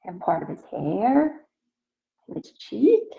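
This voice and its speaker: A woman teacher reads a picture book to young children at a slow, deliberate pace. Her pitch moves widely and expressively as she puts questions to the listeners. The recording is dull and slightly muffled. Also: sing-song, creaky, mellow. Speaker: elementary school teacher reading aloud.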